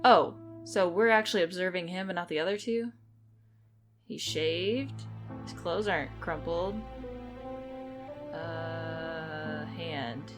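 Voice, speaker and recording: A woman's voice: lowish voice, slow pace, long pauses, music in the background. A woman gaming and commentating casually, chatting and thinking aloud as she plays. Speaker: game streamer.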